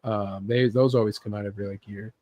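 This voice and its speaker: A man's voice: lowish voice, fairly even intonation, brisk, dark tone, highs cut off. A man hosting a casual chat about gaming news, talking informally. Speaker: host.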